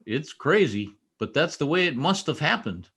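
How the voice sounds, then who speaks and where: Husky, animated young trooper played by a man. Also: on the high side, normal speed, full character voice. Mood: earnest, insistent. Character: earnest, insistent young state trooper, loyal friend. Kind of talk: old-time radio drama acting.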